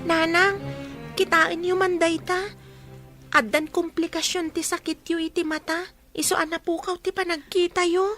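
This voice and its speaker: A woman voicing Ira, a high-voiced earnest daughter. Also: level tone, normal speed, pushed and bright, faint music behind. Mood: pleading. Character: young woman, dutiful daughter and student. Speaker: daughter of a blinded mother. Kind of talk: radio drama acting.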